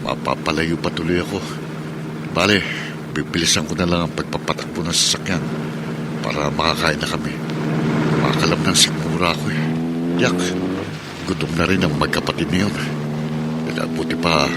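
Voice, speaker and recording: Deep-voiced young husband, noisy background. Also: male voice, fairly even intonation, normal speed, pauses often. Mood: hurried. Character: devoted, easygoing young husband and father. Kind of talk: Tagalog radio drama acting.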